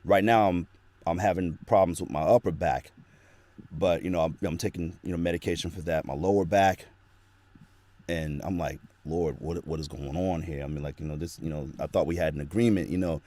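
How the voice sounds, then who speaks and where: A man's voice: sits low, fairly even intonation, fairly quick, mellow, dry recording. A man who works as a voice actor, talking earnestly and at length as a guest in a casual interview, recorded in a small home studio. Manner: earnest, rambling storytelling.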